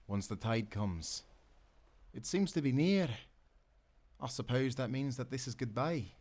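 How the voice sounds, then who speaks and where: A man's voice: medium pitch, expressive, unhurried, a little mellow. A man playing a hearty, loyal sailor in an audio adventure, with an earnest, folksy nautical way of talking. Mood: grateful, earnest. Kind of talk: audio roleplay acting.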